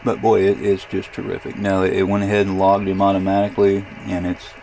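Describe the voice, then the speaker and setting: A man casually narrating a software demonstration as a radio hobbyist, thinking aloud in a hesitant, rambling way with a gravelly voice. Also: sits low, completely flat delivery, a little fast, slight background noise, poor audio.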